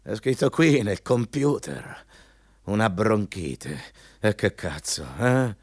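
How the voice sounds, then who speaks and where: An actor in an Italian radio play playing a ruthless, patronizing company interviewer who talks in a hectoring, insistent way. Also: male voice, lowish voice, big pitch swings, takes it slowly, a little mellow, no room sound, studio quality, full character voice. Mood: lecturing.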